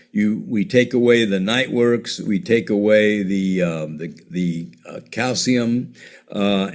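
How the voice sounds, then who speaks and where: A man presenting nutrition packages in a sales talk, pausing often with fillers and restarts. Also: on the low side. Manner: hesitant, many fillers and restarts.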